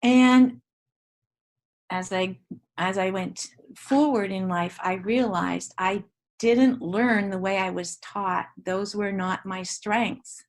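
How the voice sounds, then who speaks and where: Earnest older woman, Dutch native speaker. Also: mid-range voice. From Ontario, Canada. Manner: earnest, reflective, with frequent restarts and pauses. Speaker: guest educator. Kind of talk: interview.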